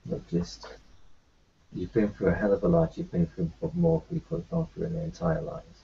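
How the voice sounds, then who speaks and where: Gravelly man, halting reassurance. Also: fairly high pitch, very animated, lo-fi sound. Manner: halting, reassuring, many restarts. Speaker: tabletop roleplayer. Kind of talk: in-character roleplay chat.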